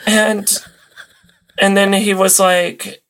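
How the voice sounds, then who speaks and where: A man's voice: sits high, flat delivery, extremely slow, fairly bright tone, low-quality recording. A man talking casually as a podcast guest, telling things in an amused way. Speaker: podcast guest, old-time radio enthusiast. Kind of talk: casual interview chat.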